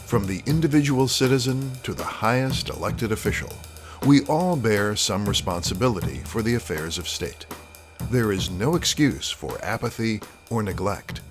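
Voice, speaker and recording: A man reading scripted closing narration as an announcer, formal and measured. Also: fairly low pitch, very animated, music in the background.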